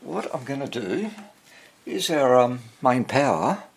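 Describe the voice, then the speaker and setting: A man giving casual hands-on repair narration, haltingly muttering to himself as he works and thinks aloud. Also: mid-range voice, big pitch swings, gravelly, background noise. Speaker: hobbyist radio restorer, presenter.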